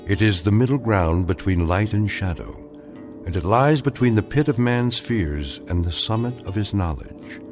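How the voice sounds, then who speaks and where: A man as a deep, solemn radio drama narrator. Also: lively intonation, normal speed, natural voice. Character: grave male story narrator. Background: music.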